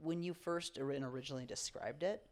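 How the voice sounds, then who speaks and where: A woman's voice: low voice, fast, a little mellow. A woman co-hosting a podcast with casual, dry, teasing banter. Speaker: podcast co-host, camp director. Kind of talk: casual chat.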